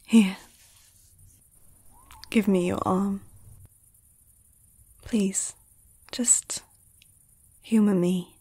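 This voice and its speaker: Gentle female vampire, played by a woman, ASMR roleplay. Hushed, husky, unhurried, soothing, medium pitch. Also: steady tone. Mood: gentle, earnest. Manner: soft-spoken, often whispering, slow and soothing. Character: gentle, protective female vampire comforting a human.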